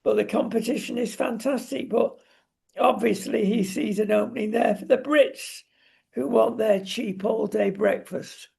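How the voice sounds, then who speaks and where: Very gravelly husky voice, talk show presenter chatting current affairs, wry and opinionated. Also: medium pitch, fairly even intonation, a little slow, dark tone.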